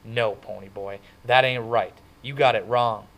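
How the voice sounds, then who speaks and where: Tough teen greaser, loud and fierce, protective older buddy; male reader voicing him. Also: mid-range voice, lively intonation, a little fast, pitched above the performer's own voice, natural voice, rough recording. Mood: scolding. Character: tough, wisecracking teenage boy, protective.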